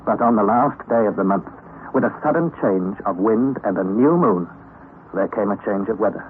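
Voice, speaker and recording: A man's voice: fairly low pitch, lively intonation, close to an everyday voice. Harry, a man narrating as a young nephew. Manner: measured storytelling narration. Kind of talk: 1930s radio drama narration. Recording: tinny lo-fi old radio recording, faint hiss.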